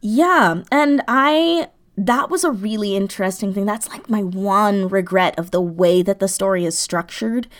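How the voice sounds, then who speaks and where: A woman's voice: mid-range voice, unhurried. A woman speaking as an interview guest, explaining her creative thinking in a casual, thoughtful way, searching for words as she goes. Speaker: guest, audio drama writer.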